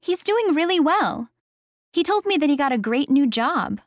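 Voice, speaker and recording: A woman's voice: sits high, big pitch swings, moderate pace, no echo, studio quality. A woman acting a friendly acquaintance in a scripted textbook dialogue.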